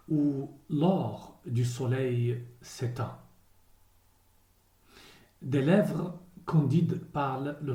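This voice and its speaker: Slow, dark-voiced man reciting poetry. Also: medium pitch. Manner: measured, grave, short phrases with pauses. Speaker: poet reading a poem. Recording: roomy, echoing voice recording.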